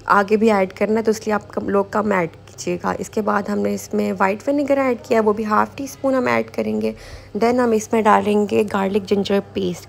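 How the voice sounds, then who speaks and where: Creaky-voiced woman explaining a recipe. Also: medium pitch, slight background noise. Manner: informal, repetitive instructing with English kitchen words mixed in. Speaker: home cooking show host. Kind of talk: casual recipe voice-over, step-by-step instructions.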